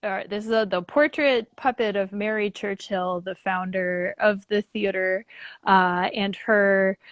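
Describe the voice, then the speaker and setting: A woman's voice: mid-range voice, steady tone, measured pace, dry recording. Upbeat, chatty woman on a video call. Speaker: puppet theater director, interview guest. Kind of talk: casual chat in an online video interview. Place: at the theater, moving between outside and lobby on a video call. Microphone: phone or laptop on a video call.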